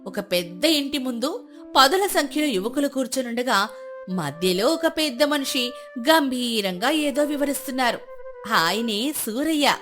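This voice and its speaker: A woman narrates a scripted moral tale in a fairly high voice. Soft music plays beneath her storytelling. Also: animated, moderate pace.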